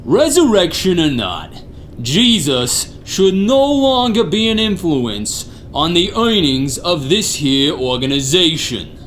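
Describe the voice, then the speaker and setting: Comic radio mob boss: domineering, irritable, drawn-out and deliberate, heavy swings in pitch, slangy scolding. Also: big pitch swings, fairly bright tone. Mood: pompous. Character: pompous, irritable gangster boss, curt and domineering. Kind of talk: comic radio theater acting. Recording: faintly noisy recording.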